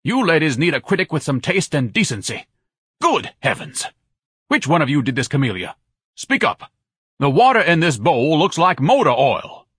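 Guy doing a pompous hot dog man yelling at people, way high and loud, husky. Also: big pitch swings, brisk, hardly any pauses, no room sound, studio quality, heavily acted. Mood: indignant. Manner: pompous, indignant, theatrical. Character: pompous, bellowing fat male hot dog vendor.

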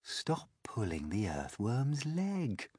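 A man voicing a kindly, composed female ladybird in a storybook, gentle and measured. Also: mid-range voice, big pitch swings, breathy edge, no room sound, clean studio sound, pitched above the performer's own voice. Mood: mild.

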